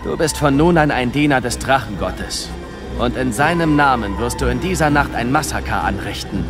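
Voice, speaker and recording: A menacing male cult henchman played by a man in a horror radio drama. He commands with fanatical certainty in a medium-pitched voice, with clear music behind him. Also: animated, normal speed, lightly acted. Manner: commanding, threatening. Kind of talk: horror radio play acting.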